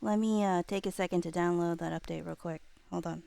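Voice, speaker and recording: Gravelly-edged woman streamer chatting casually. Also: lowish voice, fairly even intonation, a little fast, warm tone, a little hiss or hum. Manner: scattered, thinking aloud, playful little nonsense sounds. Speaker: live streamer host. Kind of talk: casual livestream chat.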